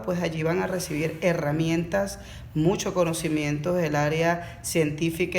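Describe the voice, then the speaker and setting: A woman psychologist introduces herself in a brisk, formal way. Her voice has a gravelly fry, and the room adds an echo. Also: on the low side, a little hiss or hum. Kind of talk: podcast self-introduction. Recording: roomy echo.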